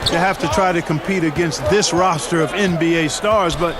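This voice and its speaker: A man's voice: fairly high pitch, normal speed, close to an everyday voice. An American man playing an opinionated, conversational color commentator on a video game basketball broadcast. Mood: conversational, approving. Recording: game audio over loud music and crowd.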